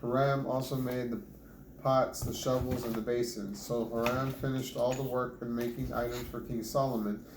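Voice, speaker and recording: A man reading scripture aloud in a measured, deliberate manner. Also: medium pitch, flat delivery. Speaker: Bible reader. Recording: roomy echo with clear background noise.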